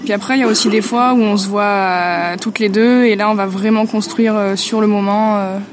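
A woman's voice: mid-range voice, fairly even intonation, brisk, background noise. A woman musician chatting in an interview, talking freely with frequent fillers. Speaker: musician in a duo. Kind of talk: casual interview answers.

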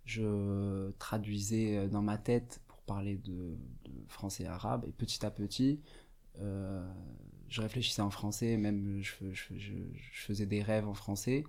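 Hesitant Moroccan-born man, lowish voice. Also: fairly even intonation, a little slow, creaky, darkish tone. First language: Arabic. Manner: hesitant, thoughtful, many fillers and restarts. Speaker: radio guest. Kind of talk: casual radio interview. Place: radio studio.

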